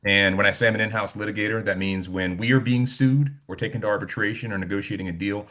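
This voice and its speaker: A man from South Carolina explaining his work as a panelist in a measured, informal professional way. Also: fairly low pitch, steady tone, bright, forceful tone. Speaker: panelist, in-house litigator. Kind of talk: informal professional self-introduction.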